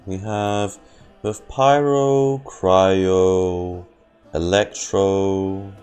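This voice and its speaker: A man with a low voice chats casually while streaming a game, with music playing behind him. He rambles at a slow pace and often circles back to repeat himself. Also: fairly even intonation, darkish tone, smooth. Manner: rambling, repeats words and phrases. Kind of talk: casual live gaming commentary.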